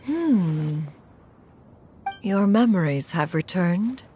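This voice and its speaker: A woman playing a dignified, wise immortal in a video game, with formal, measured and aloof speech. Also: on the low side, lively intonation, dark tone, soft background music. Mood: grave, sympathetic. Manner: formal, measured, calls herself "one". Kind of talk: dramatic video game voice acting.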